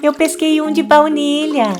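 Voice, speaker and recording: A woman voicing a cheerful, reassuring young witch girl in a children's cartoon, expressive and soothing, with music behind. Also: high-pitched, a little slow.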